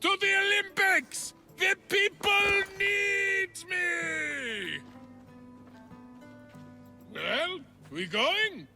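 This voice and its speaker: An actor playing a boastful, dim-witted male wrestling champion who talks with gravelly, slurring bluster in a video game cutscene. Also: extremely high pitch, lively intonation, extremely slow, pauses often, bright, forceful tone, soft background music, exaggerated voice. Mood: boisterous.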